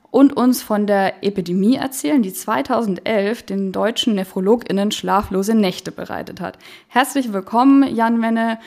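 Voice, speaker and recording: A woman's voice: mid-range voice, a little mellow. A woman hosting a medical podcast, reading a scripted introduction and asking questions with some hesitation. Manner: friendly, clear, with hesitant questions. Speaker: podcast host.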